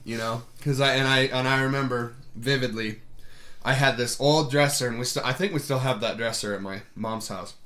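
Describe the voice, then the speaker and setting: A man's voice: mid-range voice, brisk, pushed and bright. A young man co-hosting a podcast, rambling through stories with laughs and casual swearing. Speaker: podcast co-host. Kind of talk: casual chat.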